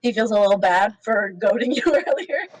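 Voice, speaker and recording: A woman's voice: fairly high pitch, animated, normal speed, a little hiss or hum. A woman playing in a tabletop game, describing her character's actions in a giggly, chatty way. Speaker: player. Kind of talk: casual tabletop play.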